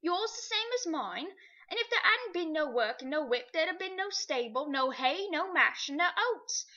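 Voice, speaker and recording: Woman voicing a rough-spoken old cab driver. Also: very high voice, sing-song, moderate pace, sharp tone, heavily acted. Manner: coarse working-class dialect, earnest. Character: rough working-class London cabby, middle-aged man. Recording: dull lo-fi recording.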